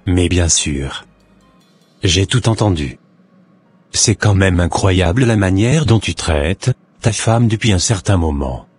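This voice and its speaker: A preachy, wise neighbor. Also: male voice, deep voice, lively intonation, slow, darkish tone, slightly rough, exaggerated voice. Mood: reproachful. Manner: earnest, preachy, counseling.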